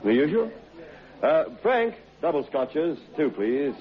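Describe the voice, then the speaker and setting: A man's voice: fairly high pitch, big pitch swings, slow pace. An actor with a British accent playing a jovial man spinning a ghoulish tale over drinks in an old radio drama. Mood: genial, conspiratorial. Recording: dull old radio recording.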